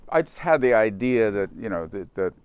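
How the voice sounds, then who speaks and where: An older man, a company founder, speaking reflectively in an interview in a halting, unhurried way with pauses. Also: fairly low pitch, big pitch swings, a little mellow, clear, resonant tone.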